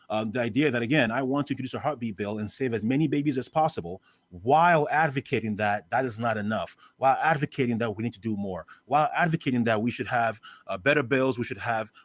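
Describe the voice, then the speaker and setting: A man's voice: medium pitch, no room sound. Man from Canada, debate guest, insistent with lots of restarts, dry mic. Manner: rapid, repetitive restarts when pressing a point. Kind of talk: live debate.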